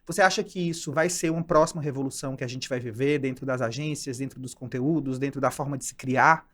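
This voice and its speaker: A man's voice: medium pitch, fairly even intonation, fast talker, a bit bright. A man from Ceará with a Northeastern Brazilian accent, hosting an interview in a casual, chatty way and often adding "né?". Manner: chatty, thinks aloud with restarts and "né?" tags. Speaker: podcast host.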